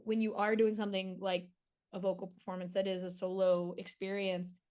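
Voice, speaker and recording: A woman interviewing in a casual, chatty style with the enthusiasm of a fan. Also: medium pitch. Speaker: entertainment interviewer.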